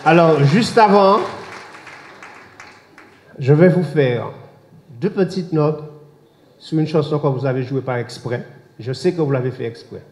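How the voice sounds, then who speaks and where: A hoarse man, the mayor, giving a measured formal closing speech to an assembly. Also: a little high, darkish tone, a faint crowd. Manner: measured, addressing an assembly with thanks and invitations. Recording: roomy echoing council chamber.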